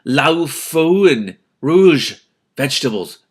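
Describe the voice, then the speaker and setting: A man reading a novel aloud as host, with casual, exasperated asides in an expressive manner. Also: fairly high pitch, drawn-out words. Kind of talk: audiobook-like reading with casual reactions.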